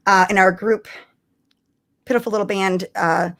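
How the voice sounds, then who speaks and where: A woman's voice: medium pitch, steady tone, normal speed, gravelly, fairly bright tone. Casual woman hosting a live chat. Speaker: live stream host.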